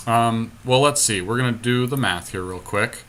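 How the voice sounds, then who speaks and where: A man's voice: medium pitch, normal speed, fairly bright tone. A man co-hosting a podcast, thinking aloud as he casually comments on his moves in a card game. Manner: thinks aloud, counting and reasoning through moves. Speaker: podcast co-host.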